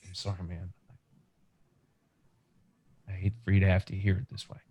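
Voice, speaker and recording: A man's voice: deep voice, monotone, moderate pace, warm tone, slight background noise, natural voice. A man acting a young, practical, reassuring scavenger survivor in an urgent, conversational comic book table read over a video call. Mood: grave, sympathetic.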